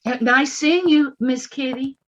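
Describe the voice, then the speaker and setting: Gushing young frontier shopgirl, high-pitched. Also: a little slow, highs cut off, a little hiss or hum. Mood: coy. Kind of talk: radio western acting.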